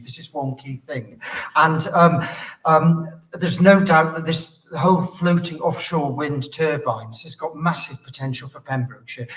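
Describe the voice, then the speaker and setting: A man speaking as a candidate at a political hustings in a measured, explanatory way. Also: a little high, fairly bright tone, far from the mic, low-quality recording. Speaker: parliamentary candidate. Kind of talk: hustings speech.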